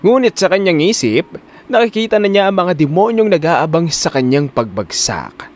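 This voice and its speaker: A man's voice: high-pitched, big pitch swings, normal speed. A man narrating a scripted audio drama in a dramatic storytelling style.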